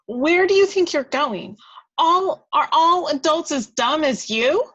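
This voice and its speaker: A woman's voice: sits high, animated, slow, fairly bright tone, highs cut off, voice pushed up, exaggerated voice. A woman voicing a bossy, exasperated tiny fairy, scolding in emphatic bursts at an amateur table read. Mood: scornful.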